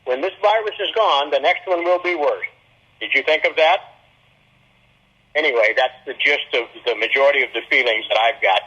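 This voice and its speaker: A man with a husky voice speaking as the chair of a public meeting. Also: medium pitch, normal speed, bright, forceful tone. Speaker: board chair. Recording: dull, muffled teleconference audio.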